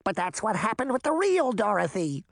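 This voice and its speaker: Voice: medium pitch, big pitch swings, moderate pace, gravelly, dry recording, studio quality, pitched above the performer's own voice. A narrator voicing an eager, pushy female witch who insists on being melted. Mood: insistent. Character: eager theatrical female witch, cheerfully fated to melt.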